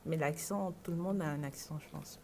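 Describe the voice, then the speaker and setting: A woman student giving a relaxed, chatty answer in an interview. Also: low voice, a little fast, creaky. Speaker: student guest.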